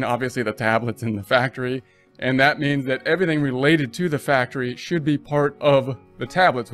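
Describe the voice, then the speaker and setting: A man teaching accounting in a casual voice-over lecture, talking it through and restarting phrases as he goes. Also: medium pitch. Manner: conversational, restarts mid-phrase, thinks aloud. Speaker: accounting instructor.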